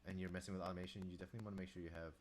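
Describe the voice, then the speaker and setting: A young man with a very low voice, casually talking to himself while composing on a live stream, rambling with restarts and asides. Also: little pitch movement, rapid-fire, slight background noise. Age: young adult. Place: small room indoors. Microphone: desk microphone, wearing headphones.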